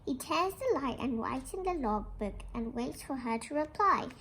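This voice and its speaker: A woman's voice: high-pitched, animated, normal speed, fairly bright tone, a little hiss or hum. A young girl reads a children's picture book aloud, explaining it and asking questions like a little teacher. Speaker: young storybook reader.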